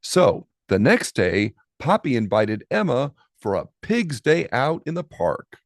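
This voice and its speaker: A man narrates a picture book for children in an expressive, lively style. He takes it slowly with dramatic pauses, and his voice has a gravelly creak. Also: mid-range voice, no room sound, studio quality. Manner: dramatic pauses, drawn-out key words. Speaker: storybook reader.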